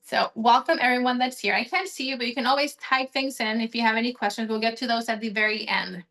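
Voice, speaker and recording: A woman's voice: on the high side, fairly even intonation, fast talker, a bit bright. A woman from Honduras leading a friendly, explanatory online cooking demonstration, talking while she chops and prepares food. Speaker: cooking demo instructor.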